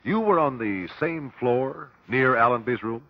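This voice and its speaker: An actor playing a persistent examiner at an inquest, asking formal, clipped questions in an old radio drama. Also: male voice, medium pitch, lively intonation, a little slow, no room sound. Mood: pressing, formal. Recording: dull, dry old radio recording.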